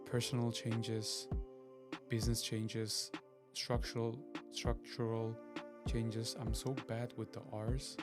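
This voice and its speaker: A man's voice: mid-range voice, flat delivery, takes it slowly, over loud music. A man from Switzerland, hosting a podcast and chatting in a rambling way with lots of fillers and restarts. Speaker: podcast host. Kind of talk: casual solo podcast intro.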